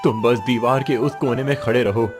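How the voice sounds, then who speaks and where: A man's voice: medium pitch, fairly even intonation, normal speed, music in the background, natural voice. A man playing a poor, protective father in a cartoon story, earnest, solemn and resolute. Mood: protective, reassuring.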